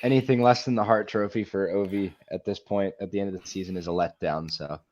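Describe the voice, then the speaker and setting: A man's voice: sits low, fairly even intonation, quick pace, darkish tone. A man co-hosting a sports podcast, talking hockey as an opinionated, blunt fan in casual chat. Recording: dull lo-fi microphone sound.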